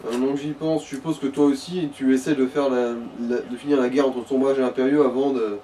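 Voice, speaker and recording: A man's voice: medium pitch, steady tone, a little fast, warm tone. A man chatting casually as a gaming companion, explaining things in a rambling, sweary way. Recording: distant mic, faint noise.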